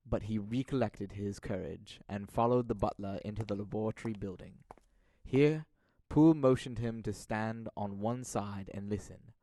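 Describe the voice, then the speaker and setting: A young man narrating a literary audio drama in a deliberate storytelling manner. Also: fairly low pitch, warm tone, dry recording. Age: young adult.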